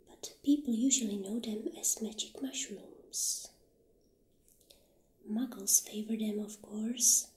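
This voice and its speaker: A woman speaking softly and breathily, often near a whisper, in a gentle ASMR roleplay as a witch shopkeeper. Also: a little high. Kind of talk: soft-spoken, half-whispered ASMR roleplay. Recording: roomy echo, audible background noise.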